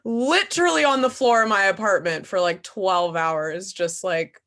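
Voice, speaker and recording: A woman's voice: mid-range voice, moderate pace, a bit bright. A young woman chatting casually and candidly as she answers questions, giggly and self-deprecating. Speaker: actor and playwright answering questions. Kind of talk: casual conversational Q&A.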